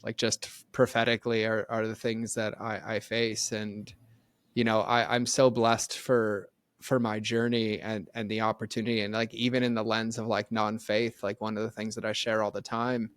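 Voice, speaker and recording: A man's voice: fairly low pitch, flat delivery, normal speed, a bit bright, clean tone, no room sound. A middle-aged man talking earnestly and animatedly as a podcast guest, full of "like" fillers. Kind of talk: casual faith conversation.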